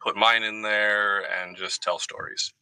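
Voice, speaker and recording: A man speaking as a guest in a measured, casual conversational way with a self-deprecating touch. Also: fairly low pitch, little pitch movement, bright, forceful tone, smooth, no room sound, poor audio. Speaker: guest, writer and voice actor.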